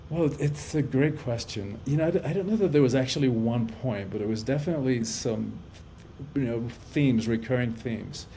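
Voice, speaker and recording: A man's voice: medium pitch, moderate pace, dark tone, a little hiss or hum. A man in his fifties from Cuba, a guest on a video-call podcast, talking earnestly and reflectively and often repeating words as he thinks. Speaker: podcast guest, life coach and author. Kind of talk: casual interview conversation. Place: indoor room at home.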